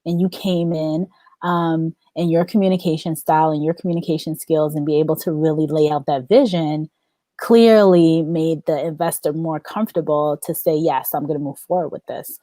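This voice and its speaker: A woman's voice: deep voice, steady tone, moderate pace, mellow, no room sound. A woman hosting a podcast interview, enthusiastic, often repeating and restarting her phrases as she asks questions. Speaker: podcast host.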